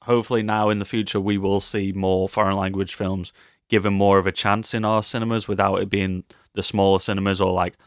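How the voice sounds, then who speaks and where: A man with a British accent and a fairly deep voice co-hosts a film news podcast. He talks informally and slips in playful remarks. The sound is very dry, with no room to it. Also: level tone, fairly quick, dry recording. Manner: joking, playful asides. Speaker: podcast co-host. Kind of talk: casual film news chat.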